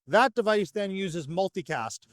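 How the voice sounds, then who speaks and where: A man with a fairly high voice explains products in an articulate, orderly way. The sound is dry and close, like a company representative being interviewed. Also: animated, measured pace, no room sound. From North America. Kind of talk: fluent product explanation at a trade show. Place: trade show exhibition hall.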